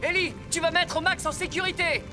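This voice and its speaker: A calm young male rescue vehicle leading a team in a children's cartoon. The voice is high and encouraging. Music plays clearly underneath. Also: normal speed. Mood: commanding. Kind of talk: French children's cartoon dub.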